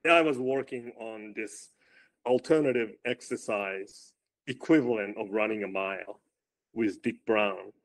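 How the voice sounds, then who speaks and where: A man with a loud voice, a running coach answering interview questions and thinking aloud as he moves between points. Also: fairly low pitch, measured pace.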